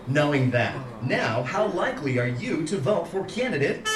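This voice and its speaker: A man's voice: on the high side, sing-song, normal speed, over loud music. Man playing a pushy robocall pollster, swooping delivery. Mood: pushy, exaggerated. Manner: formulaic prompts swinging into exaggerated salesmanship. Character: automated political phone-poll caller, increasingly pushy, male. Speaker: actor in a live interactive radio play. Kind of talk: scripted robocall performed live on stage. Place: indoor theater stage, live performance. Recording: roomy, distant, noisy live theater recording.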